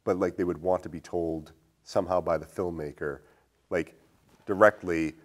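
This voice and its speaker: A man's voice: deep voice, steady tone, moderate pace. A man hosting a casual film discussion, talking in a hesitant, rambling way full of fillers. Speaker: podcast host.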